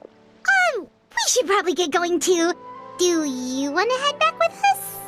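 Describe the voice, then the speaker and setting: A squeaky, very high child-sized game companion, chatty and good-hearted. The delivery swings widely and stumbles anxiously over words, with music playing clearly behind it. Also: female voice, wildly swooping pitch, unhurried, heavily acted. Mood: awkward, flustered. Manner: stammering, refers to self in third person. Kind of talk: video game voice acting.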